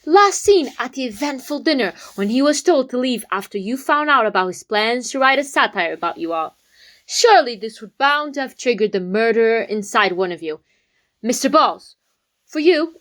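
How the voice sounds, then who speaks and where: A woman playing a confident young detective in an amateur comedy whodunnit, explaining with dramatic pauses. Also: on the high side, fairly bright tone. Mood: confident, accusing. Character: confident young detective laying out a murder case.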